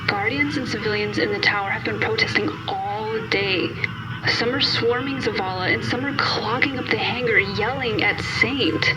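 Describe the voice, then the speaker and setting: A woman's voice: mid-range voice, normal speed, natural voice. A woman voicing a small, concerned female AI companion in a sci-fi audio drama. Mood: worried. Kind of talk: audio drama character acting. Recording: distant voice under loud music.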